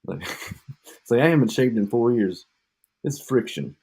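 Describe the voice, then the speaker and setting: A man's voice: medium pitch, animated, measured pace, a little mellow, breathy edge. A man co-hosting a podcast, rambling and joking in casual chat, drifting off mid-thought, with an echo on his home mic. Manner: joking, meandering, trails off. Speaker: podcast co-host.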